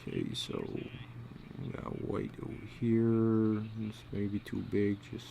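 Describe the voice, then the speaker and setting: A man gives a casual, think-aloud drawing tutorial. His speech is slow and murmured, as if half to himself, with a creaky fry in the voice. There is some noise behind him. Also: lowish voice, huge pitch swings. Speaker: storyboard artist giving a drawing tutorial.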